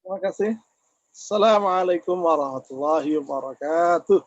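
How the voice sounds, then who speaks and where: A man with a hoarse voice from a cold, teaching a religious lesson in a measured way and reciting Arabic passages over an online call. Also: on the high side, no echo, lo-fi sound. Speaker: Islamic teacher. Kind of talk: religious lecture.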